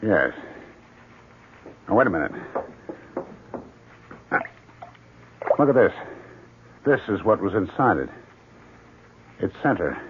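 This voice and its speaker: A man's voice: sits low, very animated, unhurried. A man acting an eager, moody painter husband in a 1970s radio drama. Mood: eager, assured. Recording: muffled, hissy 1970s radio broadcast.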